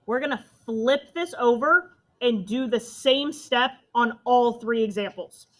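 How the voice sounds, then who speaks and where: A young woman with a loud voice, teaching a math class step by step. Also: a little high, unhurried. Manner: step-by-step explaining to students.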